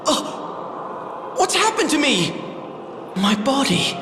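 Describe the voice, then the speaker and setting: A noble young prince in a fantasy game, high-voiced and unhurried. His delivery is earnest, dramatic and archaic, with wide swings in pitch, echoing over music. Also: huge pitch swings, background noise, heavily acted. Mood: bewildered, gloomy. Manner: formal archaic diction, dramatic. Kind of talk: theatrical fantasy game acting. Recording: roomy reverberant voice over music.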